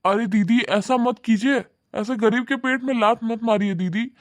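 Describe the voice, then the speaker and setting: A man's voice: very high-pitched, moderate pace, no room sound. A man voicing a humble, hardworking young male newspaper seller in a cartoon, talking politely and deferentially. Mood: pleading. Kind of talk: cartoon voice acting.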